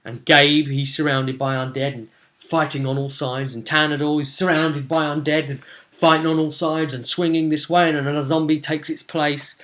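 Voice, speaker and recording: A man, casually recounting a tabletop role-playing session in an animated, rambling way and slipping into bits of dialogue. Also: a little high, normal speed. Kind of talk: casual rambling storytelling to camera. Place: small home room, indoors.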